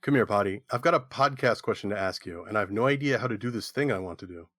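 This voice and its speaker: A man's voice: fairly low pitch, quick pace, a bit bright, no room sound, studio quality. A man playing a chatty, scheming gnome with a glib, matter-of-fact delivery in a comedic audio skit. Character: scheming, chatty male gnome stuck with editing.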